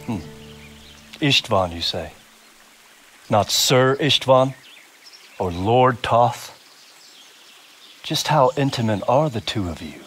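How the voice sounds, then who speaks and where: An actor playing a stern, shrewd older nobleman and commander in a game, speaking with measured authority. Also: medium pitch, animated, fairly bright tone, slight background noise. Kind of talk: dramatic game cutscene acting. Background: background music.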